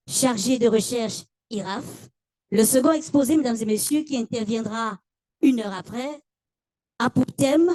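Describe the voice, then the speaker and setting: A woman's voice: a little high, mellow, no room sound. A woman, presenting a formal ceremony as master of ceremonies in a stately, ceremonious manner. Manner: ceremonious, stately, often addressing the audience.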